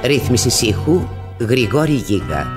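A man's voice: medium pitch, very animated. A man working as a radio announcer, reading formal scripted programme credits. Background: music.

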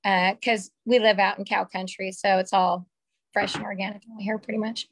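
A woman in her forties with a slightly raspy voice, sharing a candid personal story on a video call from a small room at home. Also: mid-range voice, flat delivery, moderate pace, no echo. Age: 40s. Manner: candid, chatty, gestures with her hands. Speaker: call participant. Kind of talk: casual personal testimonial. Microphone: webcam on a video call.

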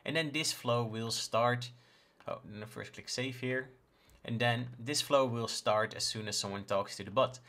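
Dutch guy with accent doing a casual screen tutorial, medium pitched voice. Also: moderate pace, a bit bright. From the Netherlands. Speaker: tutorial presenter. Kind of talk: casual software walkthrough. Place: indoors in a home room, screen recording with webcam.

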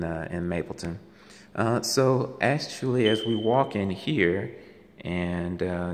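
A man gives a casual walking tour of an empty house as a realtor, talking haltingly with many ums and uhs, filmed on a handheld phone. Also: low-pitched, slight background noise. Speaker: realtor giving a home tour. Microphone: handheld phone camera. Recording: echoey empty rooms.

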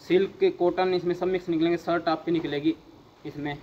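A young man hosting a vlog, walking through a large warehouse and pitching clothing stock with eager salesman patter, filmed on a handheld phone. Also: steady tone, brisk, dull audio, slight background noise. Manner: eager salesman patter, repeatedly urging viewers to look. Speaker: vlogger showing wholesale clothing stock. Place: large indoor warehouse full of clothing bales.

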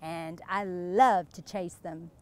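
A woman's voice: fairly low pitch, steady tone, slow, a little mellow, clean tone. An American woman, probably in her thirties, playing a flirty, giggly, boastful glamorous wife in an improvised, gushing mock interview. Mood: sassy, boastful. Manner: coy, gushing, suggestive, giggly. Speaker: interviewee in character. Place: outdoors beside a lake.

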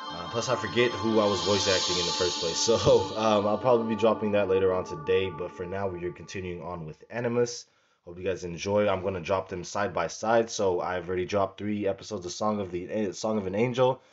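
A young man hosting a let's play, slightly raspy today, laid-back and swearing freely as he plays. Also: low voice, brisk. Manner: laid-back, swears freely, talks to himself while playing. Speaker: gaming channel host. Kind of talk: casual let's play commentary. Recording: dull lo-fi mic under loud game music. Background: loud game music.

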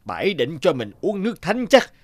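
An actor voicing a vain, spiteful middle-aged feng shui master in a cartoon folk tale, theatrical and grumbling. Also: male voice, high voice, big pitch swings, normal speed. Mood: irritated, resentful. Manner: theatrical, muttering complaints, falsely polite. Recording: music behind the voice.

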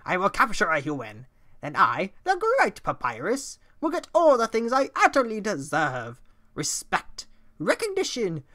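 Woman doing a loud, pompous skeleton guy. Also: medium pitch, full character voice. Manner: loud, bombastic, exasperated. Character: pompous, excitable male skeleton would-be royal guard. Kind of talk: video game character impression.

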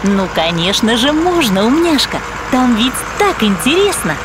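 A woman narrating a children's cartoon as host, explaining things in an animated storytelling way. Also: on the high side, measured pace, noisy background.

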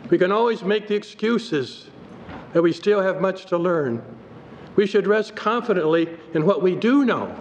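An older man, a pastor, delivering a sermon at a pulpit in a church, reading scripture aloud from his notes in a measured way. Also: high voice, lively intonation. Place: church sanctuary. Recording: dull hall recording with faint noise.

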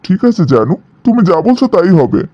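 A compliant young boyfriend acted by a man in a cartoon drama. He sounds doting and deferential, in a high, lively voice with slightly dull sound. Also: fairly quick, dry recording. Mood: doting, placating. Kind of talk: animated cartoon drama dialogue.

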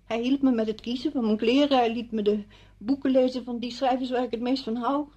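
An actress playing a bitter, stubborn, grieving widow in a radio play, brooding and cutting. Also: female voice, on the high side, steady tone, a little fast, mellow, dry recording, dull audio, natural voice. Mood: wistful. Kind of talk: Dutch radio play acting.

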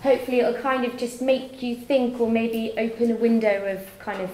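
A woman's voice: fairly high pitch, fairly even intonation, moderate pace, darkish tone, echoey room, a little hiss or hum, poor audio. A young woman from Wales with a faint Welsh accent, giving a chatty, self-deprecating talk in a lecture theatre, full of fillers and restarts. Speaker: guest lecturer. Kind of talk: conference talk.

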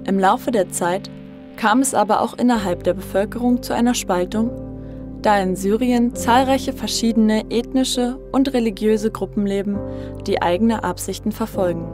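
A woman's voice: medium pitch, normal speed. Woman narrating a documentary over music. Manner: steady, even-toned, informative delivery. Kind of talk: scripted narration. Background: instrumental music.

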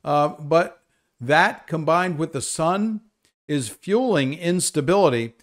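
Man, slightly high voice, weather presenter, live unscripted briefing, conversational, explanatory. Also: takes it slowly.

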